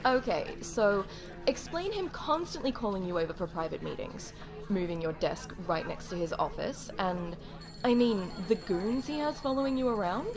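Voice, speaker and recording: A young woman's voice from an actress with a medium pitch plays a gossipy office pal in an audio drama. She is chatty, playful and conversational. Music plays clearly behind her. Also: expressive, normal speed, a crowd in the background, close to an everyday voice. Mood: teasing. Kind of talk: audio roleplay voice acting.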